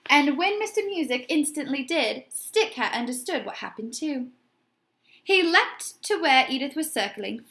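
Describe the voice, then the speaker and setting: High, animated woman reading a children's story. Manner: puts questions to young listeners. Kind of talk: expressive children's storybook narration.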